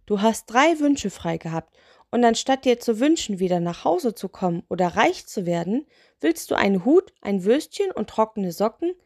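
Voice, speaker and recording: A woman's voice: on the high side, expressive, moderate pace, a little mellow, no room sound, clean studio sound, voice pushed up. A woman voicing a gigantic, grumpy male lantern genie for a children's story, huffy and sarcastic. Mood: exasperated.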